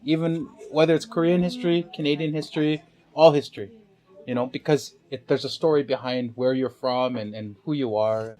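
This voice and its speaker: A man in his thirties being interviewed, speaking reflectively with soft trailing endings. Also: mid-range voice. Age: 30s. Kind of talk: casual interview.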